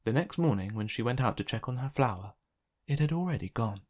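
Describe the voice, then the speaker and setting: A man reads a children's storybook aloud in a medium-pitched voice. His delivery is expressive narration, made for young listeners. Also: fairly quick. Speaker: children's book reader.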